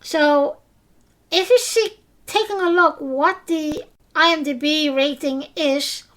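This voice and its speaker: Woman, high voice, hesitant, lots of fillers, podcast recap host. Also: slow pace, fairly bright tone. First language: Dutch. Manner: hesitant, many fillers and restarts; simple non-native phrasing. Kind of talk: casual episode recap.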